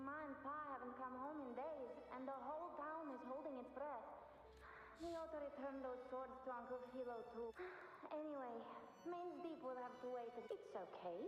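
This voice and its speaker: A woman playing a spirited young village girl in a video game, youthful, expressive and teasing. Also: sits high. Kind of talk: scripted video game dialogue. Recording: echoey hall reverb, music behind.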